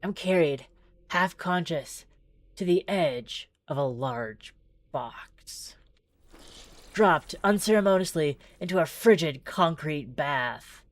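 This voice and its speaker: A woman with a low voice and a slow, measured delivery, recorded close and dry. She reads game text dramatically and drifts into casual, rambling asides as a streamer. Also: expressive, no echo. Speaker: streamer playing visual novel demos. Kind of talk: casual livestream commentary mixed with dramatic read-aloud narration.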